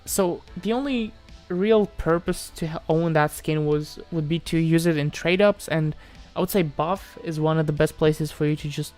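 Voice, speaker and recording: A man's voice: on the high side, normal speed, hardly any pauses, darkish tone, faint music behind. A man from Moldova chatting casually on a livestream as he answers viewers, hesitant, often repeating himself and trailing off. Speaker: live streamer answering chat questions.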